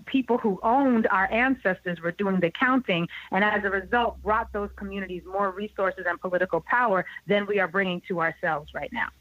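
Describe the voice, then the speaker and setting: A woman's voice: mid-range voice, no echo, highs cut off. A young adult woman, a guest in an interview, explaining with earnest emphasis and easy laughter.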